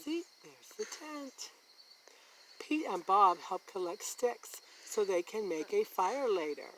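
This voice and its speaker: A woman reading a children's picture book aloud as a story time host, in a deliberate, expressive way that points things out to young listeners. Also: mid-range voice, a little hiss or hum, poor audio. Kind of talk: children's read-aloud narration.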